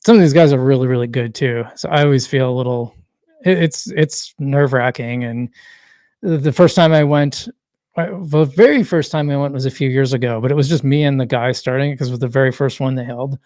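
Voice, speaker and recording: A man chats loosely on a solo livestream, his voice at a middling pitch and starting to wear out. He rambles, restarts sentences and reads viewer comments aloud. The sound is dry and close, with little room echo. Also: brisk, darkish tone. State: losing his voice. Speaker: livestream host. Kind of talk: casual solo livestream chat.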